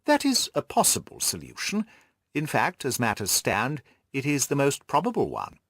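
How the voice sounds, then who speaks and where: A man voicing a Victorian gentleman detective in an audiobook, measured and analytical in manner. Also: medium pitch, wildly swooping pitch, no room sound, clean studio sound, exaggerated voice. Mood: thoughtful, uneasy.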